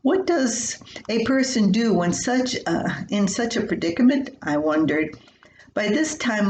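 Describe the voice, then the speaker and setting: An older woman reading her own humorous personal column aloud in a folksy, expressive storytelling way. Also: mid-range voice. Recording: roomy echo with faint hiss.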